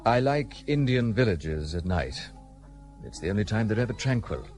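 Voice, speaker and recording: A proud, guarded gentleman of British India in a radio drama. His slightly low voice moves expressively, with formal, brusque phrasing and a bitter edge. Music sits faintly behind him. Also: sing-song, normal speed. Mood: dry, opinionated. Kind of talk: period radio play acting.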